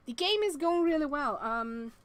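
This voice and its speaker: A woman streaming a game with casual, expressive commentary, thinking aloud and reading on-screen text as she goes. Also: high voice, moderate pace, slight background noise.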